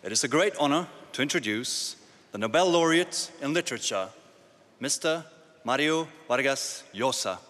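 A man's voice: mid-range voice, animated, moderate pace, fairly bright tone, a little hiss or hum. A man formally introducing a prize winner at a ceremony, in a ceremonial announcing manner. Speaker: ceremony presenter. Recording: long reverb of a large hall.

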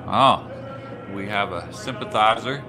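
A man doing color commentary on a curling match, calmly explaining shot choices. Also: lowish voice, measured pace, noisy background. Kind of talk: sports commentary.

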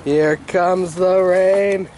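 A man's voice: high voice, steady tone, drawn-out words, darkish tone. A teenage boy holding the camera, teasing and laughing with friends in casual banter on a school trip. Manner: teasing, laughing, repeats catchphrases. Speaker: vlogger, camera holder. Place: outdoors at a school, then on a school bus. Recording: clear outdoor and bus noise.

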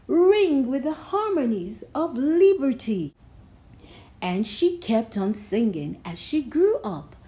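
A woman reading a children's storybook aloud, measured and expressive, with dramatic emphasis on key phrases. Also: high-pitched. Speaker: storybook reader.

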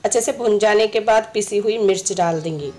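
A woman with a slightly hoarse throat, a home cooking host, calmly explaining each step of a recipe in her kitchen. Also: mid-range voice, brisk. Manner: instructive, friendly, repeats steps.

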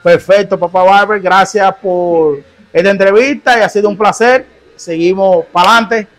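High-voiced man interviewing barbers. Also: a little slow, soft background music. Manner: chatty, repeats okay and perfect often. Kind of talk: on-the-spot interview. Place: small barbershop, indoors. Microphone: lapel mic.

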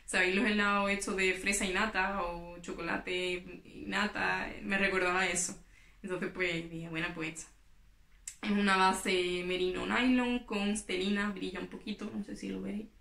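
A woman's voice: mid-range voice, flat delivery, moderate pace, creaky, pushed and bright. Young woman, knitting vlogger, casual chat, small echoey room, camera mic. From Spain. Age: young adult. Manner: chatty, explains while handling her knitting. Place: small room in front of yarn shelves. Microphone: camera in front, at table distance.

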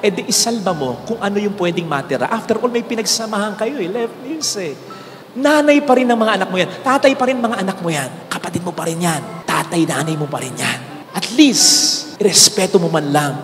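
Animated Filipino priest, high lively voice. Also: male voice, brisk. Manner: animated preaching, switching between Tagalog and English. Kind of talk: homily. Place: large church sanctuary, indoors. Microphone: handheld mic. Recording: hall reverb with faint music.

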